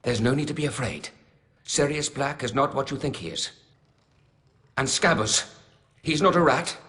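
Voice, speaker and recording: This is a steady, reassuring wizard teacher in a game cutscene. His voice is bright and clear with lively rises and falls. He explains things calmly and patiently. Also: medium pitch, moderate pace. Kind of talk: video game cutscene voice acting.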